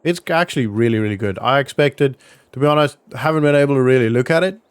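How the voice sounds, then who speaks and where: A male gaming vlogger with a clean, resonant voice at a middling pitch, recorded dry with no room sound. He talks casually and conversationally, explaining what is going on in a roleplay game as he plays. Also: quick pace, clean tone, dry recording. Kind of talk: casual roleplay gameplay commentary.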